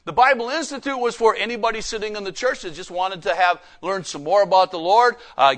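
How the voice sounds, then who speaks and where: Bright, high-voiced man preaching. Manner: emphatic, folksy, rhetorical questions. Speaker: preacher and missionary. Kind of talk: sermon with personal anecdotes.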